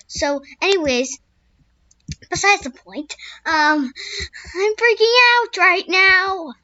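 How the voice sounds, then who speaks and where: A woman telling the tale of a squeaky wild horse. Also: bright, forceful tone, no room sound, highs cut off, exaggerated voice. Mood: panicked. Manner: first-person storytelling with restarts, turning frantic when scared. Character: young wild male horse, a skittish loner. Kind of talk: amateur spoken story.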